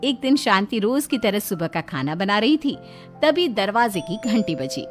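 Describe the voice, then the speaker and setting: A woman's voice: mid-range voice, sing-song, moderate pace, over music. A woman narrating a scripted family moral story.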